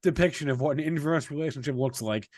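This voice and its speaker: A man hosting a casual market discussion, halting as he rephrases and comments. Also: on the high side, a little mellow, no room sound, studio quality. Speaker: host.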